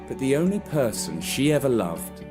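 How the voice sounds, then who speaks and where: A man narrating a scripted children's story in an expressive, deliberate way. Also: medium pitch, over music.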